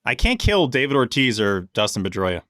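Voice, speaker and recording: A man's voice: mid-range voice, sing-song, brisk, a bit bright, dry recording, clean studio sound. A man co-hosting a sports podcast in casual banter. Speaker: podcast co-host.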